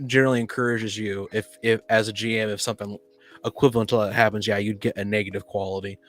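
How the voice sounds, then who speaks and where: A man in a casual podcast discussion, explaining his thinking in a halting, explanatory way. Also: fairly low pitch, little pitch movement, a bit bright. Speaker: podcast speaker on tabletop gaming.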